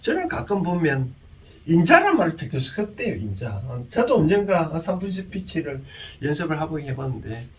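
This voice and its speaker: Animated higher-pitched man with Gyeongsang Korean accent. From Gyeongsang region, South Korea. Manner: halting, often asks listeners rhetorical questions. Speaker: lecturer and counsellor. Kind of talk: informal explanatory lecture. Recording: distant mic with faint background noise.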